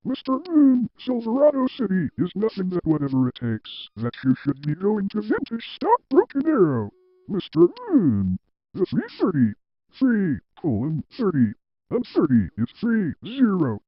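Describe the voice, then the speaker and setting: A man plays a defiant office employee in a drama, hammering points repeatedly. The pitch is medium but swings widely. The recording is dry and muffled. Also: wildly swooping pitch, measured pace, dry recording, exaggerated voice. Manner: emphatic, repetitive. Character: defiant, stubborn male office employee who argues back. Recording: dull, dry lo-fi audio.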